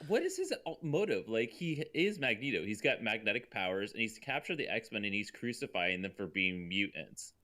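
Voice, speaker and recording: A man co-hosting a casual comedic chat, exasperated and animated, in stop-and-start phrases. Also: on the low side, moderate pace, hardly any pauses. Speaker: co-host.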